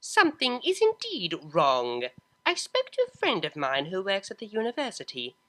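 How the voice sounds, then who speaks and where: Man doing a high, haughty, stern pony mother. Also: wildly swooping pitch, normal speed, sharp tone, heavily acted. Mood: stern, disdainful. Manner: clipped, formal, condescending.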